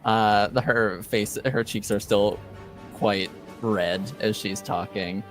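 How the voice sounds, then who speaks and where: A man narrating his character's actions in a tabletop game, casual and halting as he goes. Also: lowish voice, steady tone, faint music behind. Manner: halting, hesitant.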